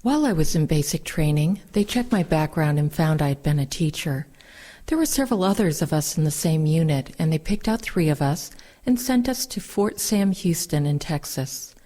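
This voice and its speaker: A woman's voice: deep voice, expressive, normal speed, warm tone. A woman reading first-person oral history recollections aloud as scripted narration. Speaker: reader of veterans' recollections.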